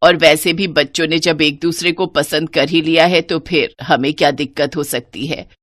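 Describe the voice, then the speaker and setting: A woman's voice: low-pitched, steady tone, moderate pace, a bit bright, dry recording, voice pushed down. A woman voicing a kindly, hearty older mother-in-law in a moral story, repeating words as she speaks. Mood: reassuring. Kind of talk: Hindi moral story character acting.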